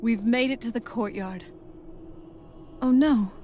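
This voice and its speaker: A woman's voice: a little high, takes it slowly, mellow, a little hiss or hum. An actress playing a wistful, young-looking vampire noblewoman in a video game, speaking in a conversational way. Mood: dismayed, wistful. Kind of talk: video game character dialogue.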